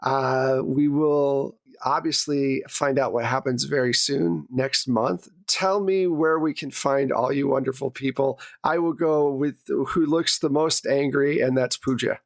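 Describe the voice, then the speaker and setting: A man's voice: mid-range voice, fairly even intonation, unhurried, gravelly, hardly any pauses, no room sound. A man hosting and narrating a tabletop roleplaying game as game master, conversational and self-deprecating. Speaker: game master, podcast host.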